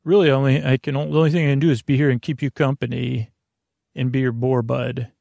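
A man hosting a bedtime podcast in a meandering, halting monologue, often interrupting himself and stammering into restarts. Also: medium pitch, flat delivery, vocal fry, mellow, dry recording. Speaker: podcast host.

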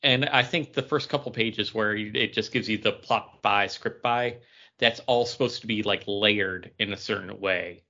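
A man's voice: lowish voice, moderate pace, bright, forceful tone, lo-fi sound. A man co-hosting a podcast, explaining things in casual conversation. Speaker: podcast co-host.

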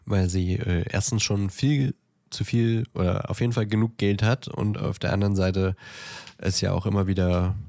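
Low-voiced man, close and dry. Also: fairly even intonation, normal speed, a little mellow, no room sound. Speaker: podcast co-host. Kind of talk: casual podcast discussion.

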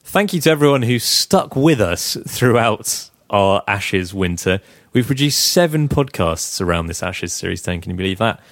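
A young man hosting a casual podcast, his voice croaky, chatting, teasing and laughing often. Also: fairly low pitch, animated, normal speed, hardly any pauses, a bit bright, no echo, studio quality. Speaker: podcast host. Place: flat.

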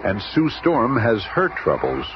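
A man's voice: mid-range voice, huge pitch swings, a little slow. A man narrating a superhero radio drama as an enthusiastic, hammy storyteller. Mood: wry, rousing. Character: enthusiastic, hammy male comic-book storyteller. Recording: muffled, noisy old radio recording.

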